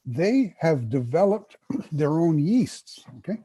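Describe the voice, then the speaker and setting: A man speaking as a guest whisky expert in a casual interview, emphatic and knowledgeable, often clearing his throat. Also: on the high side, big pitch swings, slow pace, warm tone.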